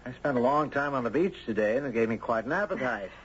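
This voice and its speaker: Guy acting friendly polite man in an old radio drama, really animated pitch, dull hissy recording. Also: fast, natural voice. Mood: polite, pleasant. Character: friendly curious man, courteous beach visitor and narrator. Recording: dull noisy 1970s radio broadcast.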